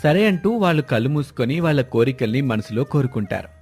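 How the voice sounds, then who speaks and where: A man's voice: medium pitch, animated, fast, a little mellow, slightly rough. Man narrating a scripted story over music.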